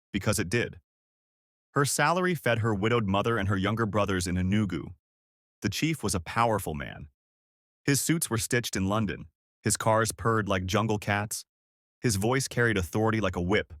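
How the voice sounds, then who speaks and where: A man's voice: lowish voice, a bit bright, no room sound, studio quality. A man narrating a scripted dramatic story as a storyteller.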